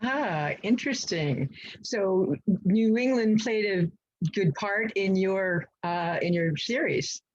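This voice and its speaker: A woman interviews an author for a bookstore in a friendly, slightly hesitant way. Her voice has a husky edge. The recording is dull and lo-fi. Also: medium pitch, animated, takes it slowly. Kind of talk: interview questions.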